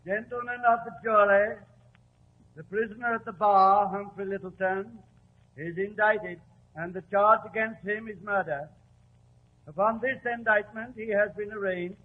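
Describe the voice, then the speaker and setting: An actor in a 1940s radio drama playing a stern court clerk with formal, declamatory speech. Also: male voice, high voice, fairly even intonation, normal speed. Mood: solemn, formal. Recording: tinny old radio with faint hum.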